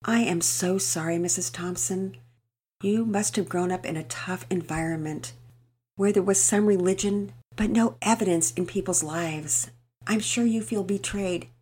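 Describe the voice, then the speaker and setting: A woman voicing a gentle, faithful mother who speaks softly and reassuringly. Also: mid-range voice, a little slow, husky. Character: gentle, faithful middle-aged mother, calm and kind.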